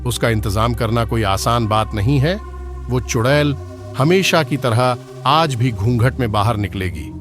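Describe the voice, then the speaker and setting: Village priest, grave and instructive, mid-range measured delivery, faint music beneath. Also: male voice, mid-range voice, lively intonation, fairly bright tone. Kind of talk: Hindi cartoon story acting.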